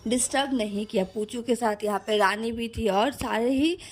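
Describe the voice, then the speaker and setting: A young woman narrates a casual vlog voice-over in a chatty, informal way, mixing in English words, her throat slightly hoarse and tired. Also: fairly high pitch, normal speed. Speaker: vlogger.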